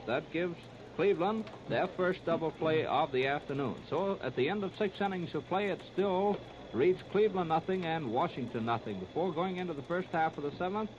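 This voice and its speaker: A man's voice: a little high, animated, normal speed. An American man calling a baseball game play by play in 1930s radio style. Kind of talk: 1930s radio sports commentary. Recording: scratchy, muffled 1939 radio disc recording.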